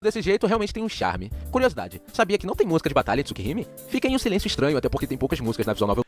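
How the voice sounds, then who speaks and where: A man comments in a video essay with swinging, animated intonation. He talks extremely fast over faint background music. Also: on the high side.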